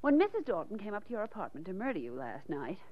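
An actress in a 1940s radio drama playing a detective's eager, admiring secretary, inquisitive and coy. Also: female voice, mid-range voice, very animated, fast. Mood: curious, eager. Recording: muffled vintage 1940s radio recording.

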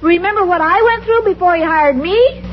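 An actress playing a naive, scatterbrained young secretary in a 1950s radio comedy. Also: female voice, very high-pitched, brisk, noisy background. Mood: ditzy. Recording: muffled old radio recording.

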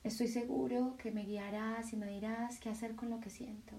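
A woman leading a guided meditation in a soft, soothing voice, with slow sighing breaths between phrases. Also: a little high, steady tone, creaky, distant mic.

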